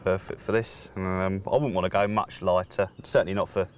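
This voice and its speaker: A man in his thirties, a guest angler, explaining his tackle in a casual outdoor chat, often pausing with "um". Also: sits low, fairly even intonation, slight background noise. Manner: hesitant, many "um"s, explains plainly. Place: lakeside outdoors, seated.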